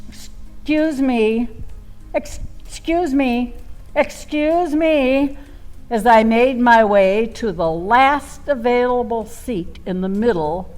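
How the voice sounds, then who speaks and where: A woman playing a flirty, much-married storyteller in a comic stage monologue, with a theatrical, drawn-out delivery. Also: a little high, darkish tone. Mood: wistful, dramatic. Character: Violet Van Zandt, flirty much-married woman storyteller, dreamy and tipsy-witted. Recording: live theater performance with faint music.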